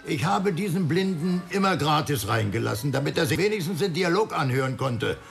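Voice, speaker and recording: Gruff talkative cinema man, gravelly, swooping pitch, colloquial, radio drama, music underneath. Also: fairly quick. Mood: defensive. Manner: colloquial, emphatic, animated. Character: talkative, gruff cinema worker, loyally defensive.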